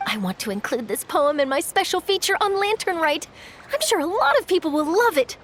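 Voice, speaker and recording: Bright, chatty young journalist, very high and lively voice. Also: female voice, fairly quick, a little hiss or hum, exaggerated voice. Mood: excited. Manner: excitable, chatty, bubbly. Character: cheerful young woman journalist. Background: game music.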